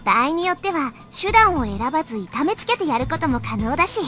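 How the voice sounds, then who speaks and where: Earnest no-nonsense young woman from a visual novel, really high voice, talks fast and straight. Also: big pitch swings, pushed and bright, soft background music, exaggerated voice. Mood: earnest, confident. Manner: casual, direct, matter-of-fact. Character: blunt, earnest, tough young woman, newly hired. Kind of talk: Japanese visual novel voice acting.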